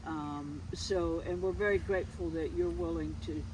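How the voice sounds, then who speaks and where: A woman's voice: lowish voice, fairly even intonation, moderate pace. Chatty woman interviewer amid outdoor street noise. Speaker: interviewer, host. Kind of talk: casual conversational interview. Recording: noisy outdoor recording, street and traffic sound.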